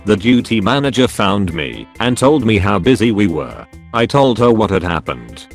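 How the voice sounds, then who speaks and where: A man narrates first-person forum stories in a scripted read. His voice sits on the low side and his intonation is lively and varied. Faint music plays with the narration. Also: normal speed, gravelly. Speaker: narrator reading online forum posts.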